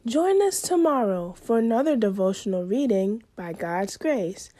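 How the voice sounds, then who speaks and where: A woman's voice: on the high side, lively intonation, a little slow, dark tone. A woman reading a scripted announcement for a devotional program.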